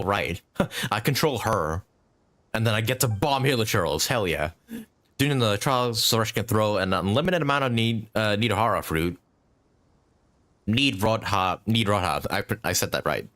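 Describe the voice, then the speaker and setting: Low-voiced, animated man live-streaming a game. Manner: thinks aloud, swears casually. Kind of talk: casual live gameplay commentary.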